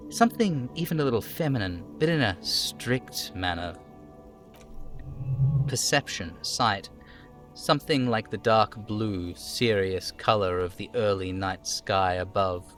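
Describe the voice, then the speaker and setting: A man's voice: fairly low pitch, lively intonation, measured pace, fairly bright tone, soft background music. Man reading game text aloud on stream. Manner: narrating, trying out character voices.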